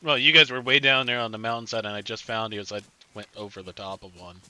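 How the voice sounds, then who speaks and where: A man's voice: lowish voice, fairly even intonation, fast talker, sharp tone, clear, resonant tone, poor audio. A man streaming a survival game, chatting casually and rambling with his teammates. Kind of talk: casual gaming chat with teammates.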